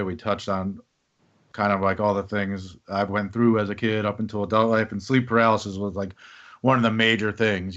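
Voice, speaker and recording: Low-voiced man hosting a podcast. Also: fairly bright tone. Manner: rambling, with fillers and back-channel murmurs. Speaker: podcast host. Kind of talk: casual conversation. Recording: dull lo-fi podcast audio.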